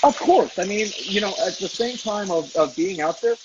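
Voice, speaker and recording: A man's voice: sits high, animated, normal speed. A man, a professional chef, chatting casually and reflectively as an interview guest while he cooks. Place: kitchen, cooking on a livestream. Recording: dull, noisy video call, sizzling pan. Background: food frying and sizzling.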